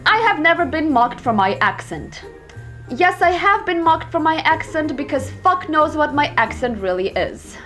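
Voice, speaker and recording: A woman's voice: sits high, sing-song, moderate pace, sharp tone, soft background music. A young woman vlogging as she rants sarcastically and profanely through online quizzes. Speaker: YouTuber. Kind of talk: reacting to online quizzes to camera.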